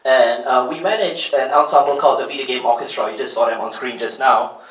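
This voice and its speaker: A man's voice: mid-range voice, brisk, a bit bright, far from the mic. Malaysian man with Boston-tinged accent, roomy hall. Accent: Boston-tinged American. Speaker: music mix engineer presenting at a launch event. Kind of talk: stage presentation to an audience. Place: large event hall. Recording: echoey hall, voice from off-mic.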